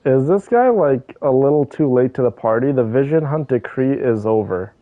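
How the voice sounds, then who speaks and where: A man's voice: medium pitch, expressive, moderate pace, dry recording, phone-quality sound. A man chatting casually as he livestreams a game, laid-back and affectionate with his viewers. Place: bedroom, on a bed. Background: soft game music.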